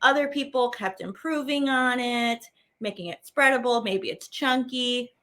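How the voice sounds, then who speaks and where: Bright, high-pitched woman leading kids' activities. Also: measured pace. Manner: animated, playful, simple repeated phrases. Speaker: teaching artist hosting a children's show. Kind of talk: children's activity lesson, speaking to viewers at home.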